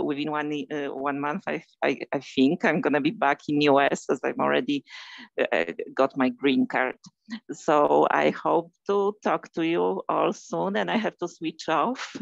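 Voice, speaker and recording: A woman's voice: low-pitched, creaky, no room sound. Polish-accented female facilitator, hesitant and encouraging, dull dry recording. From Poland. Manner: hesitant, many fillers, friendly and encouraging. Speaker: learning facilitator. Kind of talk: recorded course welcome message.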